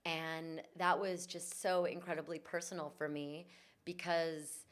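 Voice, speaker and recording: A woman's voice: low voice, level tone, measured pace. A woman guest, a yoga teacher and vocal coach, telling her story in an earnest, reflective way during an interview. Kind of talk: conversational interview. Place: in Bali.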